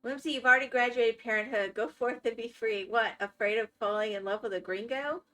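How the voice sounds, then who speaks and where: A woman's voice: a little high, a little fast, a bit bright. A woman with a Florida accent, chatting casually with viewers as a live streamer and reacting to their comments. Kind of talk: casual chat while gaming.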